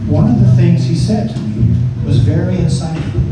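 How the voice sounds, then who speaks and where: A man's voice: fairly high pitch, normal speed. Echoey man telling stories over live music. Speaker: reader of musicians' anecdotes. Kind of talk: spoken-word storytelling over live jazz. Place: indoor jazz club performance. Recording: echoey live club, distant mic, music. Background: live music with guitar or plucked strings.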